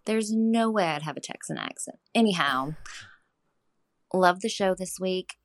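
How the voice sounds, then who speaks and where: A woman's voice: fairly low pitch, animated, measured pace, dry recording, studio quality. Frank Florida woman leaving a voice message. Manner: chatty, frank, says "y'all". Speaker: listener sending a voice message. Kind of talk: recorded voice message.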